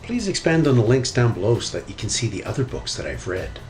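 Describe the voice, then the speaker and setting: A man reading a children's storybook aloud as narrator, in an animated storytelling style. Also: medium pitch, fast talker, music in the background. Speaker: storybook reader.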